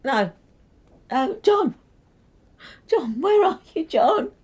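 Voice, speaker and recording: Slow, high-pitched delirious sick wife. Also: female voice, very animated, darkish tone, full character voice. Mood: distraught, searching. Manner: rambling, wailing, faltering. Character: frail, delirious, grief-stricken sick wife. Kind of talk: radio drama acting.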